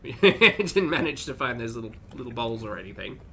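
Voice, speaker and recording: A man's voice: medium pitch, lively intonation, a little fast, a bit bright, slight background noise. A man hosting a reaction stream, chatting casually with viewers in a sardonic, sweary way, sounding congested and short of breath.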